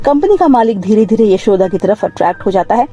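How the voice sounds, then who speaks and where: Mellow woman storyteller over music. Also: mid-range voice, animated, fast, lo-fi sound. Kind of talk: scripted narration of a moral bedtime story. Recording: music bed under the voice. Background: background music.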